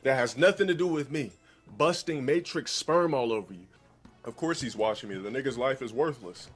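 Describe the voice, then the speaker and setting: A man with a deep voice ranting insults at a critic in a played-back clip. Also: normal speed, breathy edge. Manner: insulting, boastful rant. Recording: played clip with faint music.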